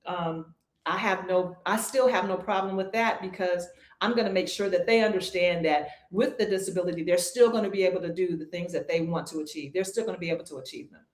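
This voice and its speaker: Woman swim teacher, interview guest, steady and soothing delivery, echoey room sound. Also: on the low side, brisk. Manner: calm, reassuring, repeats key points. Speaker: swim instructor. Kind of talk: interview answers.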